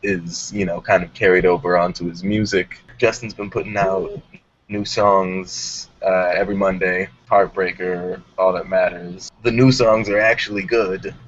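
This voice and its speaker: Very deep-voiced man hosting casual talk. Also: flat delivery, normal speed. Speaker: host of a music talk show. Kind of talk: casual panel discussion. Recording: dull audio with background noise.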